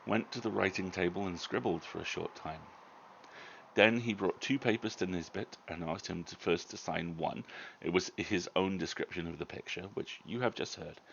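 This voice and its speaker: A man narrating a literary tale expressively, with theatrical and deliberate phrasing. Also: sits low, creaky, a bit bright, highs cut off, slight background noise. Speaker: host and story reader.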